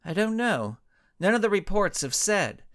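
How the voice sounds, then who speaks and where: An impatient superheroine in a comic audio story, read by a man. The delivery is assertive and insistent. The pitch moves freely in a highish register. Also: big pitch swings, normal speed, fairly bright tone, no room sound, studio quality. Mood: insistent, frustrated.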